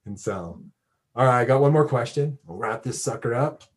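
An American man with a middle-pitched voice hosts a casual podcast. He rambles informally, breaking off and restarting his thoughts like someone talking with an old friend. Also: expressive, normal speed. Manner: informal, rambling, self-interrupting. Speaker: podcast host and radio DJ. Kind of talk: casual chat interview.

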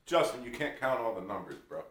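A man's voice: mid-range voice, very animated, a little fast, fairly bright tone, roomy sound, slight background noise. A man in a casual comedy podcast, cutting in with blunt wisecracks.

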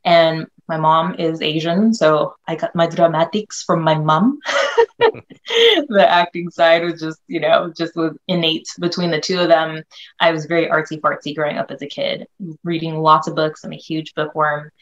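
Low-voiced woman telling her story. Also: little pitch movement, moderate pace, muffled recording. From Northern California. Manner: enthusiastic, storytelling, frequent restarts. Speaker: guest, filmmaker. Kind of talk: interview.

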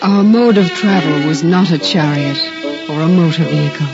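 A devout young biblical mother, reverent and tender, in measured, formal 1950s radio drama diction. The old recording is dull and muffled, with music clearly playing underneath. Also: low-pitched. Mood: reverent narrating.

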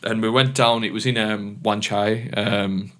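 A man's voice: lowish voice, fairly even intonation, a little slow, fairly bright tone. A man telling stories in casual chat, swearing and rambling as he acts out who said what. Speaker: DJ and podcast host.

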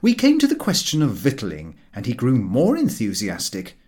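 A man reading a comic novel aloud as a narrator, expressive and drily humorous. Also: medium pitch, normal speed. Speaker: audiobook narrator.

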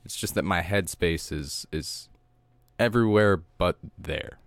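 A soft-spoken young man with a very low, deep voice talks through a podcast chat in a calm, reflective way. The sound is dry and close, with no room around him. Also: measured pace, no echo. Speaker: podcast co-host. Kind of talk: casual chat.

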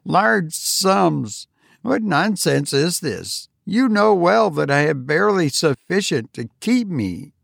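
A harsh, miserly old woman, voiced by a man reading aloud, speaks in a grim, suspicious tone. The voice sits well above a man's usual pitch, with a gravelly grain. The delivery is slow and the sound is dry and close. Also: dry recording. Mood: exasperated. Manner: grim, sharp, exasperated.

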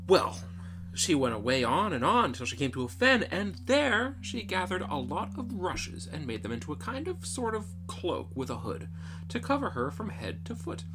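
A man's voice: a little high, background noise. A man reading a fairy tale as a storyteller in a theatrical, folksy manner.

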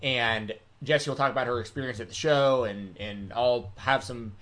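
A man of ordinary pitch hosting a live stream from home. He talks in a loose, easygoing way, circling back on himself with fillers and restarts. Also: normal speed. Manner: relaxed, rambling, many fillers and restarts. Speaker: podcast host. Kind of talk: casual live-stream chat.

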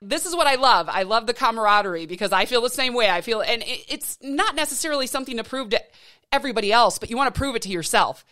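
A woman's voice: on the high side, lively intonation, fast, bright, forceful tone. A woman in her late thirties hosting a podcast, talking in an earnest, encouraging way with frequent restarts. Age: 30s. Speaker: podcast host. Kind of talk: casual interview chat.

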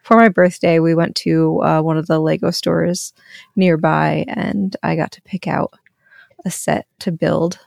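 A woman's voice: deep voice, level tone, a little slow, gravelly, darkish tone, dry recording, clean studio sound. A woman co-hosting a casual podcast, telling a story in a rambling way with many ums and small laughs. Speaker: podcast co-host. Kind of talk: casual chat.

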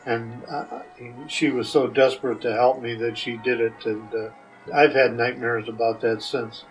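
An older man with a mid-pitched voice gives casual interview answers. He is plain-spoken and hesitant, picking his way through sentences. He sits slightly back from the mic, with faint music behind him. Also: steady tone, unhurried, distant mic, low-quality recording. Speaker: podcast guest answering questions.

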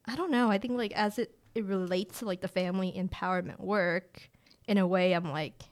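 A woman in her late twenties, raised in rural China with a village tongue as her first language, talks as an interview guest in a relaxed, chatty way full of "like" fillers. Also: medium pitch, normal speed. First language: a Chinese village language. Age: late 20s. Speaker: guest, director of family empowerment. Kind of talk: casual interview conversation.

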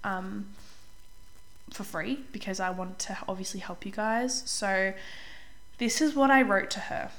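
A young woman hosting a casual solo podcast as a life coach, chatty and upbeat, slightly hoarse while getting over a cold. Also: mid-range voice, fairly bright tone. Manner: chatty, upbeat, says "like" often, clears throat.

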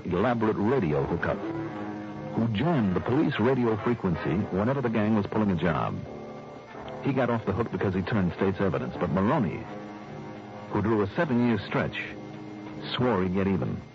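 Over music, in dull old-radio sound, a hard-boiled American private eye. His low voice moves widely as he narrates in clipped, guarded noir style. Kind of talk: 1960s radio drama acting, noir narration.

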